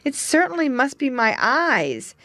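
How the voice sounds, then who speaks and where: A woman's voice: sits high, big pitch swings, a little slow, sharp tone, no room sound, pitched above the performer's own voice, full character voice. A woman voicing a young male muskrat who talks earnestly in a slightly raised voice. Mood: puzzled.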